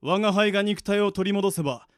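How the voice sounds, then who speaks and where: A man's voice: sits high, brisk, no echo, clean studio sound, close to an everyday voice. A man playing a proud ancient demon lord and magician in a Japanese radio drama, speaking in a grandiose, archaic manner. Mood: grand, solemn. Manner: grandiose archaic speech, declaiming. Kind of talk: Japanese anime-style radio drama acting.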